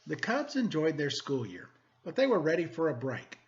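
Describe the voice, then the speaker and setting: A man's voice: fairly high pitch, very animated, moderate pace, highs cut off. A man hosting story time, reading a picture book aloud to children with playful, self-deprecating, chatty asides.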